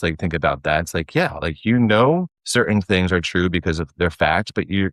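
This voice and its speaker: A man's voice: sits low, fairly bright tone, no room sound. A man talking as a podcast guest in an earnest, informal, reflective way. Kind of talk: casual, reflective conversation.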